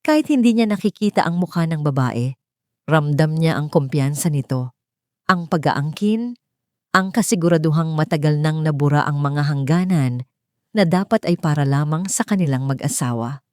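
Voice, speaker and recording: A woman's voice: low-pitched, expressive, moderate pace, darkish tone, dry recording, clean studio sound. A woman narrating a scripted dramatic story as its storyteller.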